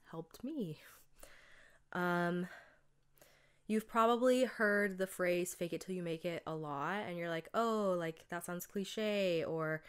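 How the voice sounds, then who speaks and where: A woman's voice: on the low side, clear, resonant tone. A young woman hosting her own podcast, talking casually and chattily with lots of fillers, her voice still stuffed up from a cold. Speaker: podcast host. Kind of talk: casual solo podcast monologue.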